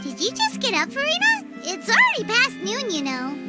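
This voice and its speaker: Squeaky, very high childlike fairy companion. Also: female voice, wildly swooping pitch, moderate pace, exaggerated voice. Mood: chirpy, cheeky. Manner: refers to self in third person, childlike exclamations. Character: tiny floating fairy companion, childlike, chirpy and cheeky. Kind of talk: scripted video game dialogue. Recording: music behind the voice.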